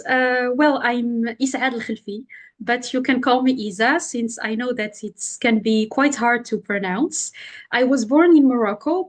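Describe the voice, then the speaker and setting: A woman with a French accent, a consultant guest in a podcast interview, explaining things in simple words with frequent "uh" fillers. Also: a little high.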